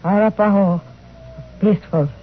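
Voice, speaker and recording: A performer playing a frightened, guarded young wife who speaks halting broken English in an old radio western. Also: medium pitch, fairly even intonation, natural voice. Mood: reluctant. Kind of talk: old-time radio drama acting. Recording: noisy, tinny old radio recording.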